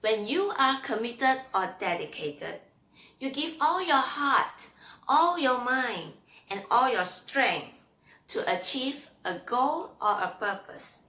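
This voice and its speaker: Woman reading to kids, really slow n careful, highish voice, short deliberate phrases. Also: very animated, bright, forceful tone, slight background noise, rough recording. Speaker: storyteller. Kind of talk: children's read-aloud storytelling and teaching.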